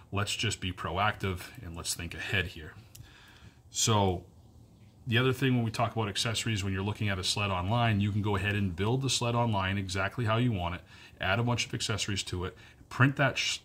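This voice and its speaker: A fairly low-voiced man in his thirties speaks straight into a phone camera held close. He talks earnestly and repeats key points for emphasis, with plenty of fillers along the way. The sound is close and informal, recorded indoors in a wood-paneled room. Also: a bit bright. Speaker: powersports dealer staff. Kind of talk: direct-to-camera business update. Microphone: phone camera at arm's length.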